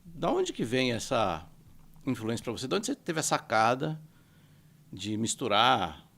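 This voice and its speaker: A man's voice: medium pitch, huge pitch swings, measured pace, gravelly, a bit bright, a little hiss or hum. A man hosting a podcast, asking curious questions and adding short affirmations. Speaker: podcast host. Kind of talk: casual podcast interview.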